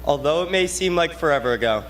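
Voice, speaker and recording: A man's voice: a little high, expressive, fast talker, a bit bright, some chatter behind, a little hiss or hum. A young man, giving a prepared graduation speech as a student. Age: late teens.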